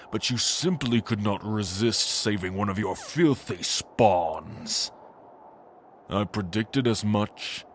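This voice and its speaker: Guy acting as sanctimonious old angel tyrant, lowish voice, real slow menacing speech, audio drama, bit noisy behind. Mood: gloating. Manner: pompous, slow, menacing declamation. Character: sanctimonious, scheming ancient angel lord, old man.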